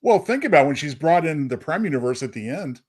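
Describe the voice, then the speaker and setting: A man's voice: fairly high pitch, sing-song, fast, darkish tone, slightly rough. A man speaking as a podcast panelist in a casual, analytical discussion.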